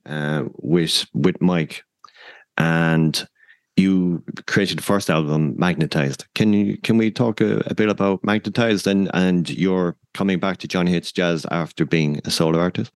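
A man co-hosting an interview, conversational and appreciative, feeling his way haltingly into his questions. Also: deep voice, no echo. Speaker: interviewer, co-host.

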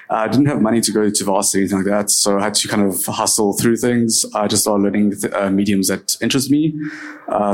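A man speaks on a stage panel in a hesitant way, filling his talk with ums. His voice is low and flat. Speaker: panelist, game studio founder. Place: event stage.

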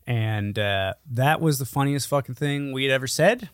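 A man's voice: mid-range voice, normal speed, clear, resonant tone, dry recording. Guy in his 30s on a podcast, sweary and jokey, close dry sound. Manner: profane, jokey. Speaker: podcast co-host. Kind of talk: casual chat, storytelling.

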